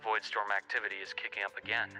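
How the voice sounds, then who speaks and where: A man voicing a caring, teasing academy colleague giving measured, matter-of-fact reports in an anime-style video game. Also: lowish voice, level tone, pushed and bright, faint music behind.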